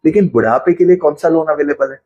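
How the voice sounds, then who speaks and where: Husky-voiced man explaining finances patiently. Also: fairly high pitch, quick pace, a little mellow, muffled recording. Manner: patient, explains with everyday examples. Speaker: financial planner guest. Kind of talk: explanatory interview talk.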